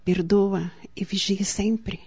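A woman playing a gentle young spiritist healer in a radio soap opera, giving measured, solemn counsel. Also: medium pitch, fairly even intonation, husky, no room sound, full character voice. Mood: solemn, admonishing. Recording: dull lo-fi audio with music.

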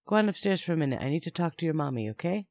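Man acting a volatile, overwrought man, pitched high. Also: very animated, very fast, darkish tone, no echo, clean studio sound. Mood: pleading, remorseful. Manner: emphatic, theatrical, overwrought. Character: volatile, bullying man, swinging to gushing remorse.

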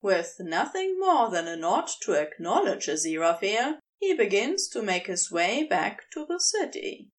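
A woman reading a scripted story aloud as narrator, with expressive, measured storytelling. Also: mid-range voice, distant mic. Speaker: podfic reader.

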